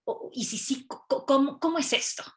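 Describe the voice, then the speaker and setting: Higher-voiced woman asking questions. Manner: warm, halting, many fillers. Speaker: podcast co-host. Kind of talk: casual interview questions.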